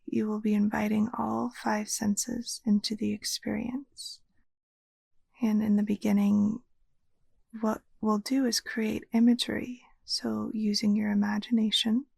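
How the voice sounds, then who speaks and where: A woman guiding a meditation as a yoga nidra teacher, speaking in a soft, soothing, breathy near-whisper. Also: mid-range voice, no echo. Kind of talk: guided meditation narration.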